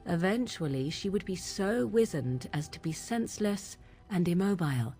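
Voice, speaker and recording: A proud young witch from a fantasy game, her voice on the low side. She speaks in solemn, old-fashioned phrases with brooding deliberation, over background music. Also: animated, mellow. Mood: troubled. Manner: formal archaic diction, deliberate. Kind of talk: video game dramatic dialogue.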